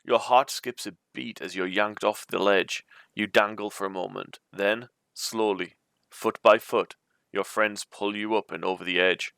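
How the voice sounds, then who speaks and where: A man's voice: on the low side, steady tone, measured pace, creaky, pushed and bright, clear, resonant tone, no room sound. A man reading an adventure storybook aloud as its narrator. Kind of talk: reading a choose-your-own-adventure book aloud.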